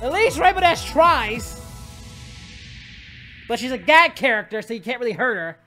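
A performer voicing an excitable young male anime hero, hosting a reaction video with melodramatic yelling and wailing. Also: mid-range voice, moderate pace. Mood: outraged. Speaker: reaction channel host. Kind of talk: over-the-top reaction commentary. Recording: music playing behind.

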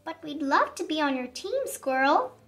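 A woman's voice: high-pitched, big pitch swings, normal speed, distant mic, soft background music. A woman voicing eager, needy little forest animals who call out pleadingly in a children's story. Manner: bright, pleading calls.

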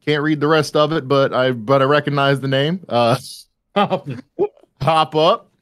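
Smooth-voiced man hosting a casual panel. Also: on the high side, slow, a bit bright, dry recording, clean studio sound. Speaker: panel moderator and cast member.

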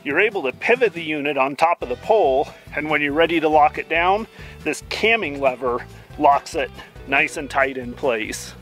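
Man reviewing camp gear outdoors. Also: fairly high pitch. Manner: steady, enthusiastic explainer. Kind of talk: product review. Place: outdoors in a dry juniper woodland campsite.

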